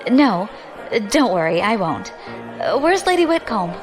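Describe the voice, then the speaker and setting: A woman's voice: medium pitch, big pitch swings, unhurried, music in the background, crowd noise. A woman playing a plucky, friendly young adventurer in an audio drama, with a casual, informal manner. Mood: polite.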